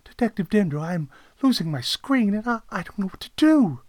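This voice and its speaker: A man's voice: sits high, very animated, brisk, no room sound, clean studio sound, pitched above the performer's own voice. A man voicing a genteel, anxious woman client in a detective story. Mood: anxious, pleading.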